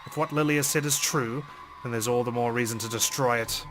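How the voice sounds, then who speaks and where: A man's voice: medium pitch, fairly even intonation, fairly quick, fairly bright tone, slight background noise, low-quality recording. A man reading visual novel text aloud as dramatic first-person narration. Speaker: let's play host reading game text aloud.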